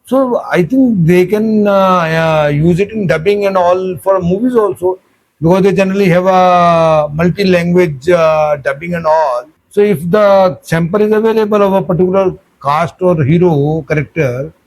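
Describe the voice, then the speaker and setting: A man, the host's father, reading aloud and asking measured, formal questions that check his understanding. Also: high-pitched, darkish tone, rough recording.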